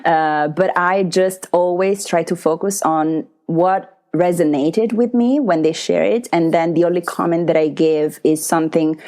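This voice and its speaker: A woman's voice: on the low side, fairly even intonation, measured pace, few pauses, darkish tone. A woman whose native language is Italian, speaking English as a podcast guest about facilitating writing workshops, thoughtful and hesitant with many fillers and restarts. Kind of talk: reflective conversational interview.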